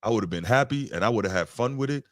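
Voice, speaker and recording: A man co-hosting a casual sports podcast, making long, measured points. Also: on the low side, animated, a bit bright, no echo, clean studio sound. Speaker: podcast co-host.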